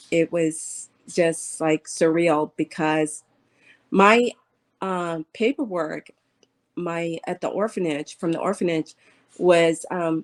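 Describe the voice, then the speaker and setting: A woman in her fifties, a guest in an interview, telling her own story in an earnest, halting way with many pauses and fillers. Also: lowish voice. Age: 50s.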